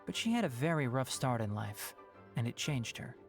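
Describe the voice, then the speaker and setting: Casting: sensible, dry-witted young video game scholar, expressive rise and fall in pitch. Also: male voice, normal speed, soft background music. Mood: thoughtful. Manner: dry, articulate, matter-of-fact. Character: wry, sensible young male scholar and guardian. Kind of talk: video game cutscene acting.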